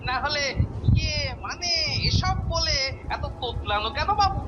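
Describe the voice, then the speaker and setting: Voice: high-pitched, expressive, measured pace, lo-fi sound, very noisy. An actor with a Bengali accent playing a clever, suspicious male court jester in a cartoon, musing and exclaiming.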